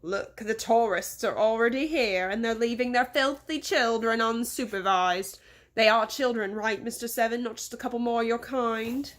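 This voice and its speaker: A woman's voice: on the high side, normal speed, close to an everyday voice. A woman voicing a pompous, insulting rich man with an English accent in a storybook, imperious and scolding. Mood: fuming, scornful.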